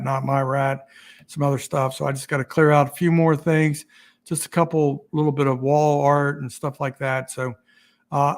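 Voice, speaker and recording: A man hosting a casual livestream, rambling and halting with many restarts, his voice getting raspy. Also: a little high. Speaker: YouTube channel host.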